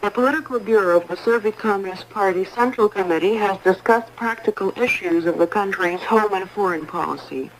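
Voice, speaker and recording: A woman reading a scripted news bulletin in a formal, measured way, heard over a 1980s shortwave radio broadcast. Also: mid-range voice, a little hiss or hum, poor audio. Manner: formal, measured announcer delivery.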